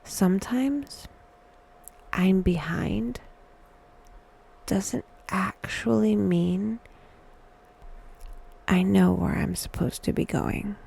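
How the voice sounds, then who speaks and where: Very slow, hushed, breathy woman guiding meditation. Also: mid-range voice. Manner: hushed, breathy, often near a whisper; wry, dry humor. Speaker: guided meditation host. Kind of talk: scripted guided meditation narration.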